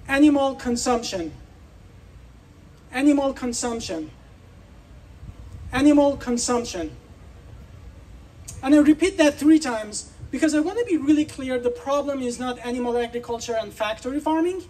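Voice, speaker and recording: A man's voice: extremely high pitch, lively intonation, moderate pace, pauses often, a little mellow, distant mic, rough recording. A man from Iran giving an earnest, persuasive speech at an outdoor festival, putting questions to the crowd. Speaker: speaker.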